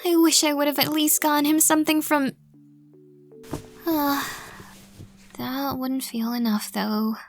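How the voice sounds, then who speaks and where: Woman as a high-voiced, flustered young traveler. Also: fairly even intonation, soft background music. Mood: dismayed. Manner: hesitant, fretful, halting. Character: flustered, anxious, well-meaning young traveler.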